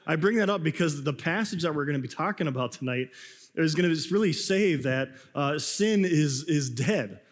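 A man preaching a sermon, hoarse and a bit raspy from a cold, talking in a loose conversational way with restarts. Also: on the high side, expressive, normal speed, big-room sound. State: hoarse, coughing. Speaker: pastor.

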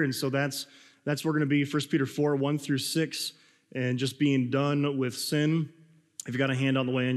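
A man preaching a sermon, hoarse and a bit raspy from a cold, talking in a loose conversational way with restarts. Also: medium pitch, in a large hall. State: hoarse, coughing. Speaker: pastor.

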